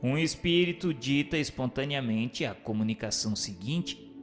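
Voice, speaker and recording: A man's voice: medium pitch, lively intonation, normal speed. A man reading scripted narration and posing formal questions as a narrator. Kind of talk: scripted narration of a spiritist text. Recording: long hall reverb, music underneath.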